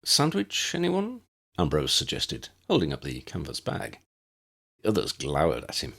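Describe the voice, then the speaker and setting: Deep, creaky male story narrator. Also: expressive, moderate pace, fairly bright tone. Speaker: audiobook narrator, author.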